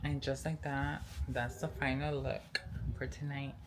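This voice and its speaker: A woman's voice: very deep voice, flat delivery, measured pace, a little hiss or hum. Earnest chatty woman in her forties. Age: 40s. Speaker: vlogger. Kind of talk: casual vlog talk.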